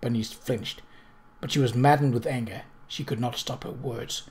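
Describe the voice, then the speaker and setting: A man reading fiction aloud as a narrator, in a deliberate, dramatic manner. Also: mid-range voice, steady tone, a little mellow. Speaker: audiobook reader.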